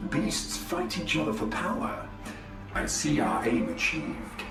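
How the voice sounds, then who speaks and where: An actor playing a haughty, commanding alien lord in a video game, speaking formally and imperiously. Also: male voice, a little high, sing-song, slow, recorded from a distance. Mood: cold, dismissive. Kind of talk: scripted video game voice acting. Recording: echoey, set back from mic, music behind.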